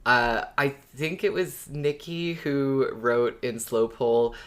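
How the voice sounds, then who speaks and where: A podcast co-host talking casually and informally in a relaxed discussion. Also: mid-range voice, takes it slowly.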